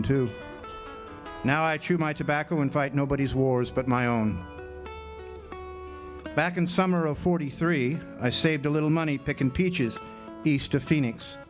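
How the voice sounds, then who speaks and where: A man's voice: medium pitch, close to an everyday voice. An actor playing a weathered, wry male drifter and Great War veteran, telling his story plainly in a 1940s radio drama style. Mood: rueful. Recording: guitar music behind the voice. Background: acoustic guitar music.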